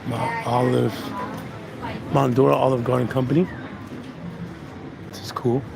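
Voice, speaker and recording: A man with a hoarse voice walking through town and chatting casually about the street and the places around him as he films. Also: mid-range voice, level tone, unhurried, heavy background noise. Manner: rambling, laid-back, chatty tour commentary. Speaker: vlogger. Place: outdoors on a busy town street. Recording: outdoor street recording with music. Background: music from restaurants.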